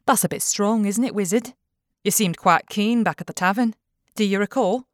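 A woman's voice: medium pitch, moderate pace, no echo, natural voice. Casting: bold adventuring woman, done by a female narrator, blunt, teasing, mid-range voice, dry recording. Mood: needling.

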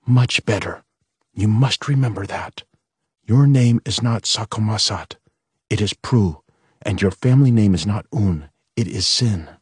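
A man's voice: lowish voice, unhurried, a little mellow, breathy edge, dry recording, clean studio sound, pitched above the performer's own voice. A man voicing a frightened, stern mother who whispers urgent warnings to her children and drills them harshly. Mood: firm.

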